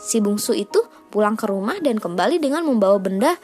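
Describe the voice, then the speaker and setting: A woman's voice: medium pitch, expressive, fairly quick, darkish tone, soft background music. A woman storyteller narrating a children's fairy tale.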